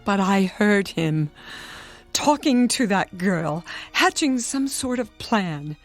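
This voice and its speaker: A stubborn, mistrustful woman patient in a radio drama. She speaks slowly and insistently at a middling pitch, defending herself over a faint music bed. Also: expressive. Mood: wavering. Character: stubborn, suspicious businesswoman, a bedridden patient.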